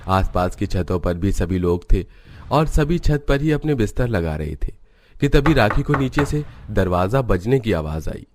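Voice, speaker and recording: A man's voice: fairly low pitch, lively intonation, normal speed, background noise. A man narrating a scripted cartoon village story.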